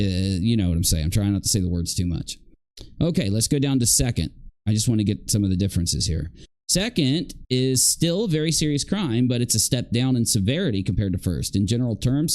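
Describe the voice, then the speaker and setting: A man's voice: fairly low pitch, darkish tone. A man hosting a livestream, giving casual, rambling commentary and reading aloud with grumbling, joking asides.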